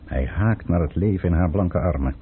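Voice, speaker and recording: An actor playing a cold, scheming Russian count in a Dutch radio play, theatrical and insinuating. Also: male voice, deep voice, moderate pace, a little hiss or hum, lightly acted. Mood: cold, plotting. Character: scheming Russian count, commanding, cold, silky courtly conspirator. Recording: muffled, band-limited old radio recording.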